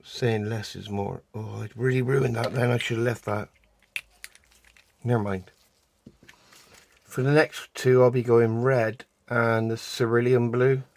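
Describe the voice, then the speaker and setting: A man from the UK with a croaky voice, chatting casually as he explains a resin craft project step by step. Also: mid-range voice, level tone, a little mellow. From United Kingdom. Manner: unhurried, chatty, talks while doing hands-on craft work. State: croaky voice, clearing throat. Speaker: resin art vlogger. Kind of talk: casual how-to narration while working.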